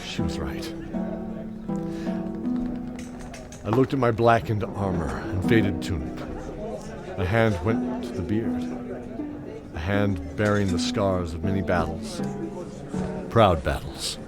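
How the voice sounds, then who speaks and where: A deep-voiced old knight, recounts his tale with brooding weight and measured, reflective pauses. It is an audio drama narration with prominent music underneath. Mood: ashamed, anguished.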